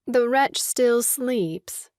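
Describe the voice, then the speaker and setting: A woman playing a gentle, fond young sister in a comic stage farce, with a coaxing, soft-hearted manner. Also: fairly high pitch, extremely slow, no room sound, clean studio sound.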